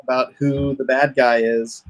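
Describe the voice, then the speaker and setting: Man chatting casually, halting as he explains. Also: mid-range voice, flat delivery. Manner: halting, searching for words. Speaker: comic book creator explaining his project. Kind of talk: casual unscripted chat.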